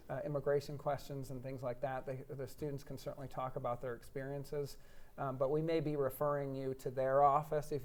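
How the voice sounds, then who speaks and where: An American man hosting a live online chat for admitted students, relaxed and talkative with a lot of fillers. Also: medium pitch. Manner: relaxed, chatty, many fillers, jokes at himself. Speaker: director of admissions office, host.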